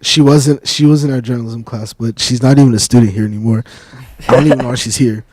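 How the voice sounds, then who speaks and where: A man's voice: medium pitch, fairly even intonation, fast talker, a little mellow, dry recording. A young man hosting a casual studio chat, joking and playful, laughing often, on a table mic in a small college studio. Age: young adult. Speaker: host. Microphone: table mic on a stand.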